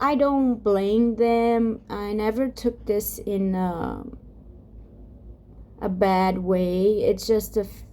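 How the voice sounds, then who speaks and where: Slow, soft-spoken Brazilian woman. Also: medium pitch. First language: Portuguese. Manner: halting, many restarts and fillers, drifts off topic. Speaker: ASMR vlogger. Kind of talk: soft-spoken rambling vlog.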